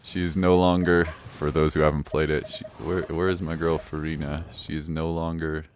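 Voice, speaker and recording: A man's voice: rumbling low, flat delivery, normal speed, a little hiss or hum. A man casually chatting and commentating on a game livestream in a laid-back, playful, chatty way. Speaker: live game streamer. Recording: music playing behind the voice.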